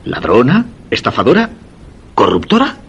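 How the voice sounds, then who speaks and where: An actor with a Castilian Spanish accent playing a curious, insistent husband who recounts a mysterious romance in measured, literary radio-play style. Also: male voice, medium pitch, huge pitch swings, breathy edge, highs cut off, exaggerated voice. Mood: curious, probing.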